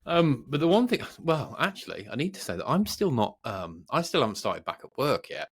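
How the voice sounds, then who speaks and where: A man's voice: medium pitch, sing-song, brisk, slightly rough. A middle-aged British man co-hosting a podcast, chatting casually in a rambling, self-deprecating way. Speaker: podcast co-host. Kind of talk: casual chat.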